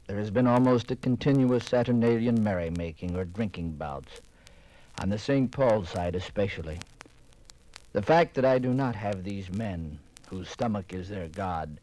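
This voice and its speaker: A man's voice: fairly low pitch, mellow, lightly acted, poor audio. An actor playing an earnest pioneer priest in a 1950s radio drama, reading his letters in formal, literary phrases.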